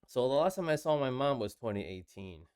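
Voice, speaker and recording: A man from Taiwan co-hosting a podcast, telling stories casually and haltingly, often circling back to rephrase. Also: medium pitch, animated, darkish tone, clear, resonant tone, no room sound, studio quality. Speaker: podcast co-host. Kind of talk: casual conversational storytelling.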